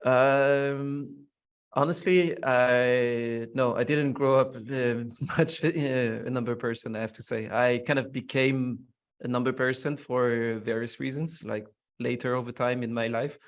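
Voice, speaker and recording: A man's voice: mid-range voice, steady tone, a little slow, clean tone. A young French man guest in an interview, answering in a reflective way with many fillers. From France. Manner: reflective, curious, frequent fillers. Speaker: podcast guest.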